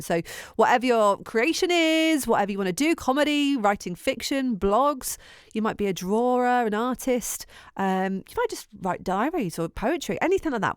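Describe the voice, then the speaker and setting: A woman's voice: mid-range voice, dry recording. A bubbly, enthusiastic English woman presenting a radio show from the studio. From England. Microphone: studio mic.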